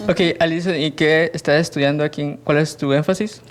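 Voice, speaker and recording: A man's voice: fairly high pitch, steady tone, brisk. A man hosting a faith-based podcast, welcoming guests, leading a prayer and asking questions in a friendly way. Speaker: podcast host.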